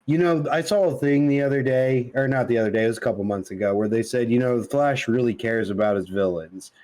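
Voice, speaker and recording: A man's voice: mid-range voice, fast talker, darkish tone, poor audio. A man co-hosting a podcast, chatting informally with plenty of slang and some swearing. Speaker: podcast co-host. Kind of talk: casual chat about comics, movies and games.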